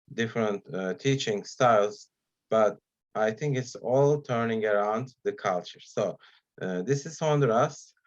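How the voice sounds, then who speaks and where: A man whose first language is Turkish gives an online talk as guest speaker, pausing often with fillers between phrases. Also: fairly low pitch, dull audio. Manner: hesitant, many pauses and fillers.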